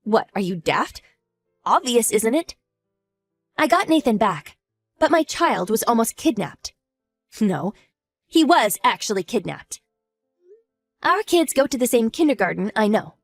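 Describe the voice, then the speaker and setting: Lady voicing a cold sneering wife, mocking and slow, highish voice, dry with soft music behind. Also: very animated, no room sound, heavily acted. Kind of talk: audio drama acting.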